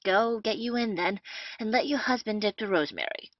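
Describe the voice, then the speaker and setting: A woman's voice: mid-range voice, brisk, dry recording, natural voice. A woman playing a bustling, boastful, talkative male captain in an audio play of a period comedy. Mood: brisk, bossy. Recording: dull, lo-fi, dry audio.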